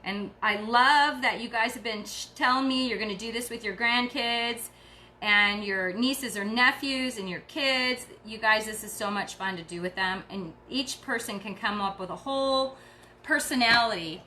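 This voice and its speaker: A woman's voice: a little high, moderate pace, pushed and bright, a little hiss or hum. A middle-aged woman hosting a craft tutorial, chatty and encouraging as she works, sounding tired. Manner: chatty and encouraging, talks while working. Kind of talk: casual craft demonstration.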